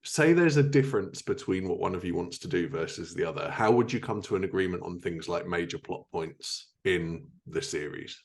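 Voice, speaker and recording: A man's voice: deep voice, lively intonation, normal speed, gravelly, hardly any pauses. A man hosting a podcast, asking questions in a casual, chatty interview style.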